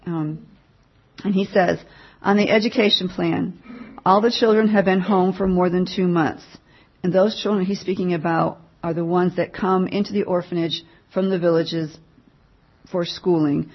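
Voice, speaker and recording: A woman giving a mission update at church, reading a written report aloud to the congregation in a plain, measured way. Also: fairly low pitch, steady tone, breathy edge, muffled recording.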